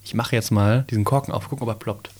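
Halting young man reading a label. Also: on the low side, fairly even intonation, darkish tone. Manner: slow and halting, many fillers, playful. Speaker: podcast co-host. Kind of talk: casual chat, reading a bottle label aloud.

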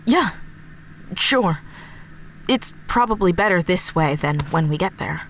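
A woman playing a practical, level-headed team leader in a fiction podcast, speaking in a measured, deliberate way. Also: fairly low pitch, animated, slight background noise. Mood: matter-of-fact.

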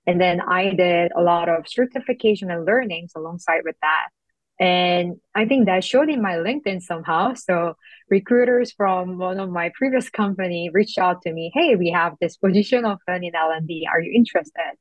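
A young woman from South Korea talks as a guest in an interview, reflective and thoughtful, pausing on fillers. Her voice sits a little low. The recording is dry and somewhat muffled. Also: dry recording. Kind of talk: interview conversation.

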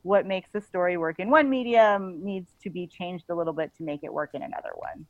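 A woman author talking casually as a podcast guest, halting and chatty, often saying like. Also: lowish voice, no room sound. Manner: halting and chatty, frequently says like. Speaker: guest author and audio drama writer. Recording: dull lo-fi audio.

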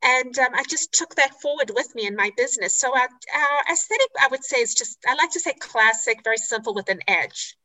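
South african lady chatting on a podcast, bright lively tone, lots of ums. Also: high-pitched, rough recording. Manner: chatty and candid, with frequent "um" pauses and self-corrections. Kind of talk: podcast interview.

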